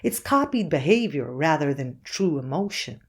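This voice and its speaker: A woman's voice: low voice, sing-song, moderate pace, breathy edge, exaggerated voice. An actress playing a certain, accusing woman interviewed on a true-crime podcast, explaining herself in an assured, pointed way. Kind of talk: acted documentary interview.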